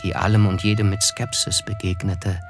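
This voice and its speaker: An actor playing a reflective, wry young man who narrates his memories in a measured, lyrical radio play style. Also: low-pitched, level tone, music in the background, close to an everyday voice. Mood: tender, lyrical.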